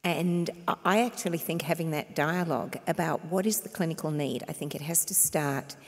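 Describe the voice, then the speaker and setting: A woman's voice: deep voice, moderate pace. A woman answering confidently on a panel, speaking with emphasis. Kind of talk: panel discussion. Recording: reverberant hall.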